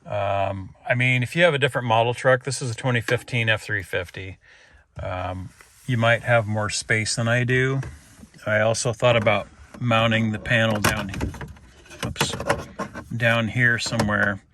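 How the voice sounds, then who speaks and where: A man's voice: on the low side, fairly even intonation, measured pace, sharp tone. A man giving a casual, hands-on install walkthrough, explaining it informally step by step. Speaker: DIY video presenter.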